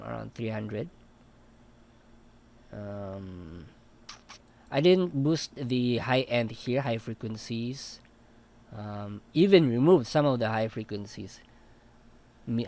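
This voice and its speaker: A young man explains his mixing plugins one by one in an unhurried baritone. He talks slowly, pausing often with fillers as he clicks through settings, in a relaxed, hesitant manner. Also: creaky. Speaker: audio mixing tutor. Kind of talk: casual walkthrough of vocal mixing plugins.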